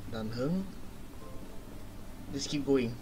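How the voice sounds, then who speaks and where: Slow-talking man reacting to a game. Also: mid-range voice, expressive, background noise. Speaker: gaming streamer. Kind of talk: casual gameplay reaction.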